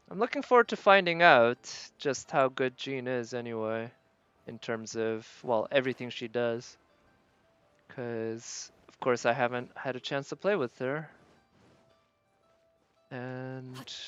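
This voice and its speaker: A live streamer talks casually to viewers while playing a game. The voice sits at a middling pitch and muses aloud, often hesitating over each thought. Game music plays behind it. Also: fairly bright tone, clean tone, muffled recording. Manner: musing, hesitant, thinking aloud. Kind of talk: casual gaming stream commentary, chatting with viewers. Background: video game music.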